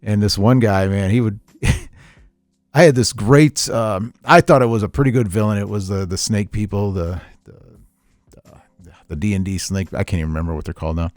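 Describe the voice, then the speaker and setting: A middle-aged man chatting casually on a podcast, telling stories in a rambling, animated way with repeats and chuckles. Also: lowish voice, moderate pace, no room sound, studio quality. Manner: animated storyteller, chuckles often, repeats himself. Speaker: podcast host, veteran tabletop gamer.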